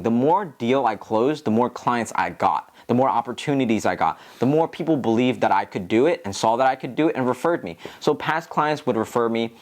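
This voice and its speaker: A man with an American accent talking casually to viewers as a tutorial host, in an earnest, encouraging, explanatory way. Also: mid-range voice. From Philadelphia, United States. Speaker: real estate agent and video host.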